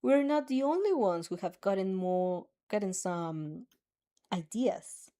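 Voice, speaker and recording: A woman's voice: medium pitch, lively intonation, slow, dark tone. A woman reading the lines of a bold, scheming teenage girl in a fan audio story, in a sly, teasing way.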